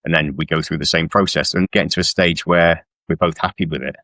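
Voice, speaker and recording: A man's voice: deep voice, steady tone, fast talker, bright, forceful tone, no room sound, studio quality. A middle-aged man from South Wales chatting informally as a guest author in an interview, with a self-deprecating manner. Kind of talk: casual interview chat about work.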